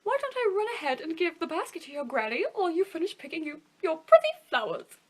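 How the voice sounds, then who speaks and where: A woman's voice: extremely high pitch, big pitch swings, fast talker, pitched above the performer's own voice. A woman reading a storybook aloud and voicing a sly, charming male wolf who sweet-talks and gloats. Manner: sweet-talking, smug.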